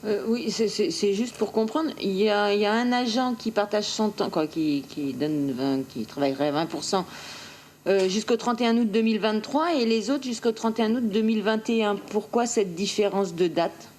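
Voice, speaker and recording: A woman's voice: medium pitch, normal speed, darkish tone. A woman council member asking spontaneous, insistent questions at a meeting.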